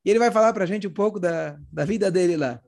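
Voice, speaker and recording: A Brazilian man, hoarse with a cold, telling religious stories informally and acting out the dialogue as he goes. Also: sits high, fast talker, a little mellow. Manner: acts out dialogue while retelling. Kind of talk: animated informal religious storytelling talk.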